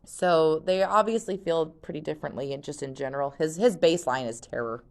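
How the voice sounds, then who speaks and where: A woman's voice: sits low, fairly quick. A woman teacher reading a novel aloud and chatting about it with her class in a conversational, thinking-aloud way.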